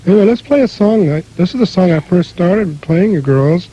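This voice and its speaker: A man's voice: high-pitched, expressive, a little fast, dark tone, breathy edge. An American man hosting a radio show, interviewing guests casually with informal, rambling questions. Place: radio studio. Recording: muffled 1980s radio broadcast, faint noise.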